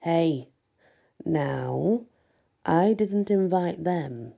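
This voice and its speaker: Woman voicing a young werewolf woman, teasing, earnest, fantasy audiobook, very mellow, creaky. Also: lowish voice, expressive, very slow, full character voice. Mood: playful, defensive. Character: young woman werewolf, playful, earnest, smitten with her mate. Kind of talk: first-person fantasy audiobook dialogue.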